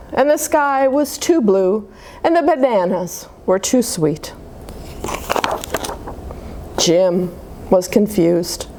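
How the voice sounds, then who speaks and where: A woman reading a picture book aloud to children, with asides to her listeners. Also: on the high side. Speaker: children's story time reader. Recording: noticeable steady background noise.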